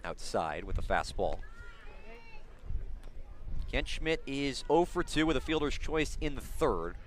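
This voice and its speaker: A man calling live baseball play-by-play for radio, describing the action in detail and building excitement on big plays. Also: mid-range voice, measured pace. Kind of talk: live radio sports commentary.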